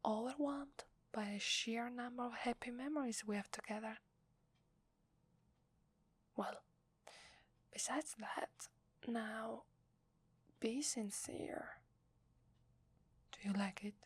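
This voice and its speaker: A woman with an Italian accent playing a gentle, loving young wife in a whispered, hesitant ASMR roleplay. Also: fairly high pitch, dry recording. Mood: tender, nostalgic. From Italy. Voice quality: breathy, whispery. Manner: slow, hesitant, tender. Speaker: ASMR voice actor.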